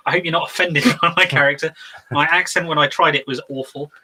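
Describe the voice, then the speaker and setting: A man's voice: fairly high pitch, fairly even intonation, fast talker, sharp tone, slightly rough. A man co-hosting a live tabletop game discussion show, chatting casually and reading out viewer comments with plenty of "um"s. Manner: chatty, reads viewer comments aloud, frequent "um". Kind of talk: casual live-stream chat.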